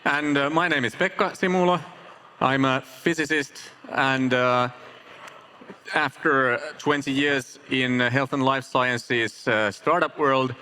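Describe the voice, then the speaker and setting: Bright-voiced man on an investor panel. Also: mid-range voice. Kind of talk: panel self-introduction. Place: conference main stage. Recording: faint ambient noise, live event stage.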